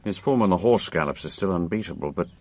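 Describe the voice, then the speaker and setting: An actor playing a genial, confident gentleman racehorse trainer in a radio drama, speaking with well-mannered authority. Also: low voice, animated, fast talker. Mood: thoughtful, keen.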